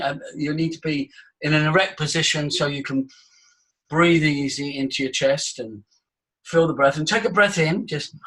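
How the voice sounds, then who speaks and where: A man's voice: a little high, sharp tone, slightly rough, poor audio. A man leading a voice workshop, demonstrating breathing and vocal sounds for his students. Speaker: voice coach. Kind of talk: live workshop teaching.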